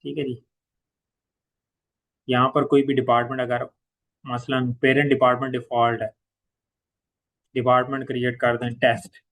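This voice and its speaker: A man with a Pakistani accent giving an informal step-by-step software tutorial as a trainer, repeating key points and mixing in English terms. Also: medium pitch, level tone, moderate pace, long pauses, rough recording. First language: Urdu.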